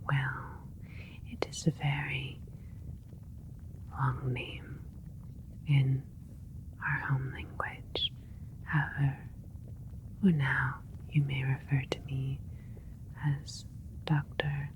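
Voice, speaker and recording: Alien doctor for sci-fi ASMR, female performer: low-pitched whisper, measured, clinical, calm, extremely unhurried. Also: slight background noise, exaggerated voice. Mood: gentle, welcoming. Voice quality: soft whispering. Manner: slow, measured, clinical, deliberate. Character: calm, clinical alien scientist doctor creating a plant hybrid.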